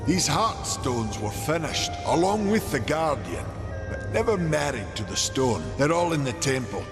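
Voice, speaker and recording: Bold fantasy maker over loud music. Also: male voice, a little high, very animated, a little slow. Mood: earnest, determined. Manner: earnest, old-fashioned phrasing. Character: bold, practical male fantasy stone-maker, earnest helper. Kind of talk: fantasy game cutscene acting. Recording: loud cinematic music underneath.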